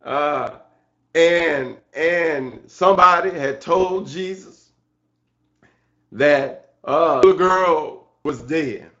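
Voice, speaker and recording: A man preaching an excited church sermon in a halting way, repeating phrases and punctuating them with amen and hallelujah. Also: on the high side. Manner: excited, fervent. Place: church service. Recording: dull, lo-fi sermon recording.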